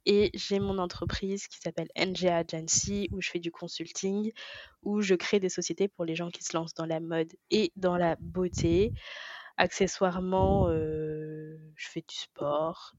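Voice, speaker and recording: A woman in her twenties from Benin, co-hosting a podcast in relaxed casual chat, her sentences trailing off softly. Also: on the low side, measured pace, dry recording, highs cut off. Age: 20s. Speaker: podcast co-host.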